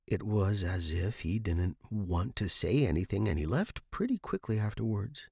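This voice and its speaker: A man's voice: lowish voice, animated, normal speed, vocal fry, warm tone, husky, dry recording, clean studio sound. A man reading scripted first-person fiction as the narrator.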